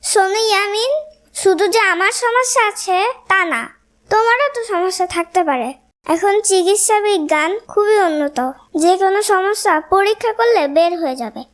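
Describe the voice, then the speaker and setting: Woman voicing a very high-pitched haughty young cartoon wife. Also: lively intonation, normal speed. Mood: defensive. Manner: scolding, domineering. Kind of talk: cartoon melodrama dialogue. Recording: music under the voice.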